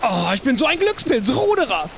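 A man's voice: extremely high pitch, wildly swooping pitch, a little fast, gravelly, a bit bright, pitched above the performer's own voice, heavily acted. A man voicing a cheerful, gushing pirate crew member in an improvised audio play. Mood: delighted. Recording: audible background noise.

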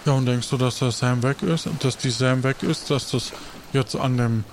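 A man's voice: medium pitch, moderate pace, slight background noise, lightly acted. Helpful, nervy pirate crewman played by a man, medium register, questioning and repetitive, improvised tabletop adventure. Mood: anxious, helpful.

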